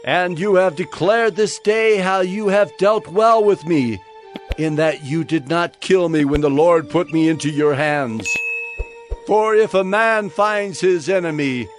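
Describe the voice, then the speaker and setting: A man acting a humbled older king in a dramatized Bible story, declaiming with emotional, pleading remorse. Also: sits high. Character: remorseful older king, weeping, humbled before his rival.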